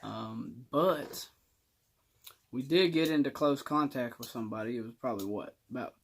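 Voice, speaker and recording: A man's voice: medium pitch, moderate pace. A young man telling a personal story in casual, colloquial speech with some swagger.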